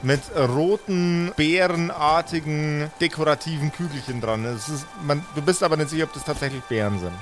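A man running a tabletop role-playing game, improvising narration in a halting, searching way and chatting casually. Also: a little high. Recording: music and rain sounds behind. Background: music, rain.

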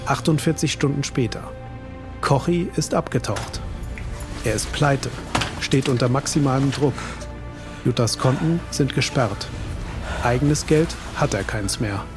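Guy narrating true crime, scripted and dramatic, middle pitch, clear music underneath. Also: a little slow, pauses often. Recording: loud suspense music underneath.

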